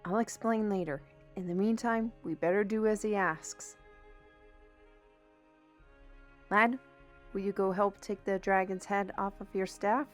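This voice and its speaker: A woman's voice: medium pitch, steady tone, moderate pace, faint music behind, close to an everyday voice. A woman playing a wry, worldly adventurer advising younger companions in a fantasy audio drama, in a conversational manner. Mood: hesitant, respectful. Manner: conversational, wry, hesitant asides. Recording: background crowd and ambient noise.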